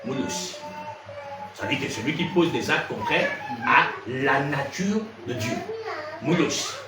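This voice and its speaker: A man's voice: a little high, unhurried, music in the background. A man from Gabon preaching in to a congregation, keeps firing questions at the listeners. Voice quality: lively, questioning delivery that probes the audience. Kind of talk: sermon teaching to a congregation. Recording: echoey room from far off, noisy.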